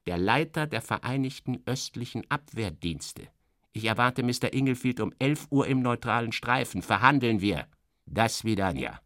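A man puts on a stern Russian spymaster for a radio play, raised in pitch above his own voice. He is officious and menacing, setting out each phrase deliberately in a close, dry sound. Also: lively intonation, a bit bright, no echo. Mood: formal, commanding. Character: stern, official Russian male intelligence chief, measured and menacing.

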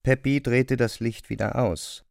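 A man narrating a literary audio drama with measured, precise phrasing. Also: mid-range voice, steady tone, creaky, no room sound, studio quality.

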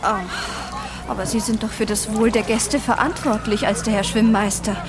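A woman's voice: mid-range voice, fairly even intonation, normal speed, over loud music, natural voice. An actress playing a self-assured, flirtatious woman professor in a radio drama, articulate and given to lecturing. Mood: flustered, flirtatious.

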